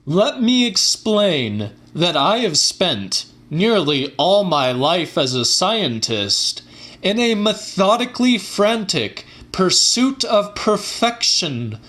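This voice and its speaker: A man's voice: high voice, fairly bright tone, full character voice. A man playing a fervent scientist who delivers a formal, theatrical lecture of revelation in an audiobook. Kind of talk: dramatic audiobook monologue.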